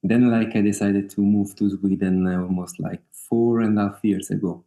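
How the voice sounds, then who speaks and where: A man from Italy with a clear Italian accent speaks on a video call in a low, mellow voice. His talk is halting, thick with fillers, and broken by small laughs. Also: fairly even intonation. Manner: hesitant, many fillers, laughs often. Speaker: guest singer-songwriter. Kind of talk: casual interview over video call.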